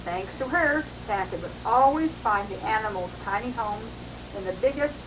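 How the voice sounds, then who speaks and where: A woman's voice: a little high, expressive, normal speed. An older woman, a grandmother, reading a storybook aloud to children on a noisy old home recording. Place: at a family Christmas party.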